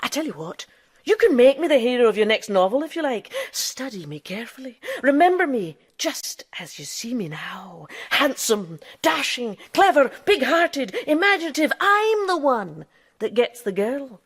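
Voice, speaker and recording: An actress playing a defiant woman who lived as a man, teasing and theatrical, dropping into breathy hushes, in radio drama style. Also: high voice, very animated, moderate pace, heavily acted. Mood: boastful, impassioned.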